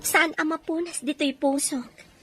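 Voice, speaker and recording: A woman playing a lovelorn, longing mother in a drama, speaking earnestly with a husky voice. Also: high voice, steady tone, measured pace, pushed and bright, faint music behind. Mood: yearning. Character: lovelorn, longing mother separated from her beloved. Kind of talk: Ilocano radio-style drama acting.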